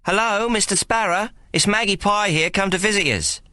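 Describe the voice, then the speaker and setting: A man voicing a blunt young woman collecting a debt, speaking in rough, slangy street talk. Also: medium pitch, normal speed, pushed and bright, no echo, pitched above the performer's own voice, lightly acted. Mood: insistent.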